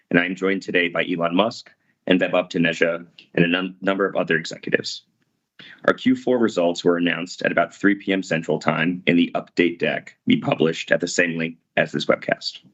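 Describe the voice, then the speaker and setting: Very deep-voiced formal corporate man. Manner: formal, reading out. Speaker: head of investor relations, call moderator. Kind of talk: scripted corporate call introduction. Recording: dull lo-fi webcast audio.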